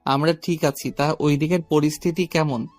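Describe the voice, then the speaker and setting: Young male romantic lead, calm, responsible, reassuring, light music bed man acting. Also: fairly high pitch, moderate pace, close to an everyday voice.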